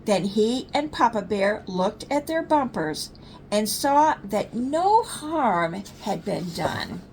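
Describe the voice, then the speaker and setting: A woman's voice: very animated, takes it slowly, a little mellow. An older woman, a storyteller, reading a bedtime story to children. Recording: faint low rumbling background noise.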